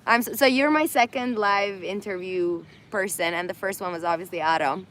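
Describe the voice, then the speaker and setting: A woman's voice: mid-range voice, moderate pace, a bit bright, slight background noise. A woman hosting a casual live stream, laughing often and losing her voice. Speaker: host of an Instagram live. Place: indoors in Armenia.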